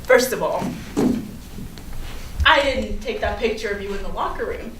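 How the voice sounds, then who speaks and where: A woman's voice: mid-range voice, moderate pace, fairly bright tone, natural voice. A woman playing a popular, defensive teenage schoolgirl in a staged play reading, with chatty, casual, hedging delivery. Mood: confiding, self-excusing. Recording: echoey room from far off, noisy.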